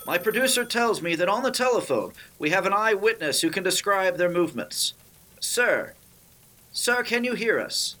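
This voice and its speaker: A woman's voice: medium pitch, lively intonation, moderate pace, bright, forceful tone. A woman playing an incredulous, sardonic news co-anchor in a 1930s radio spoof, formal with a sarcastic edge. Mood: formal, dry. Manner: formal announcing that slips into sarcasm. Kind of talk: 1930s radio broadcast parody acting.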